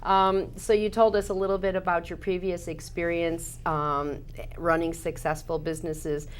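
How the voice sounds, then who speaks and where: Low-voiced woman hosting a local show. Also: flat delivery, moderate pace, slight background noise. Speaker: host, village trustee. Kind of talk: local cable interview.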